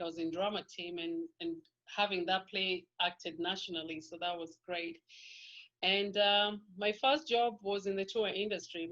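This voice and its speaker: Kenyan woman, middle-aged, lowish voice, rambling interview guest, dull lo-fi recording. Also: a bit bright. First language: Kikuyu. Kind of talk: casual life-story interview.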